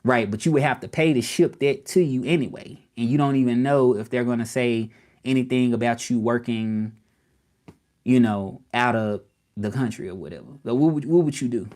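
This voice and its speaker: A man's voice: mid-range voice, moderate pace, darkish tone. A man from Memphis with a Southern accent, rambling casually on a live stream and asking his viewers directly what they would do. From Memphis, Tennessee, US South. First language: English. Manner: rambling, repeats himself, questions the viewers directly.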